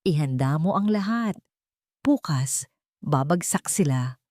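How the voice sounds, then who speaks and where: A woman's voice: low voice, expressive, unhurried, warm tone, dry recording, clean studio sound. A woman voicing a betrayed, vengeful rich man who talks in a terse, resolute way. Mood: grimly determined.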